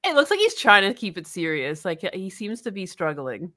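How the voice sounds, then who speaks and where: A woman's voice: medium pitch, wildly swooping pitch, moderate pace, bright, forceful tone, no echo, studio quality. A woman chatting casually as a co-host on a livestream. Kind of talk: casual chat.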